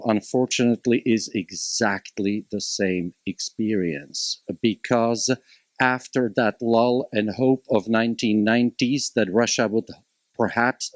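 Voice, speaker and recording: Slovak-accented man, low voice, forum talk. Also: no echo. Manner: measured, emphatic, with frequent hesitations. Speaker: panelist from a local college. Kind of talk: panel talk at a public forum.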